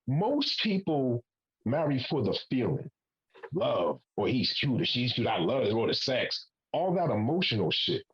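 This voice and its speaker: A man's voice: fairly high pitch, lo-fi sound. A man in his forties hosting a podcast panel, making his point emphatically and swearing casually. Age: 40s. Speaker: podcast host.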